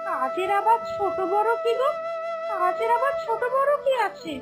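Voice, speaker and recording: A young village housewife from a cartoon fairy tale, played by a woman, very high and swooping in pitch. She is eager, anxious and outspoken, pleading excitably over background music. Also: normal speed. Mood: insistent. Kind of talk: Bengali animated fairy-tale voice acting. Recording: music behind.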